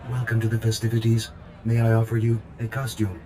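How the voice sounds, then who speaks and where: A man's voice: lowish voice, completely flat delivery, a little fast, mellow, a little hiss or hum, close to an everyday voice. A man playing a polite, formal and impassive party attendant in a video game. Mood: courteous, impassive. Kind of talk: video game dramatic acting.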